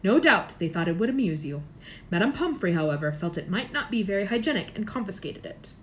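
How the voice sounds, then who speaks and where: A woman voicing a kindly old male headmaster in a storybook reading, gentle, measured and formal. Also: medium pitch, very animated, a little hiss or hum, close to an everyday voice.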